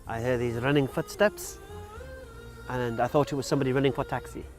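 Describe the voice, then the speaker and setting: A man's voice: medium pitch, fairly even intonation, fairly quick, faint music behind. Frank forty-year-old man telling his story. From Wales. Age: 40s. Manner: frank, plain-spoken, with many ums and uhs. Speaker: interview guest. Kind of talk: casual personal storytelling.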